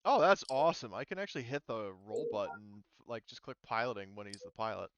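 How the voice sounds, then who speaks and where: Low-voiced man running a tabletop game, dull recording. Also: quick pace, smooth, no echo. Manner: informal, thinking aloud. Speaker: game master. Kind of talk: casual online tabletop game narration.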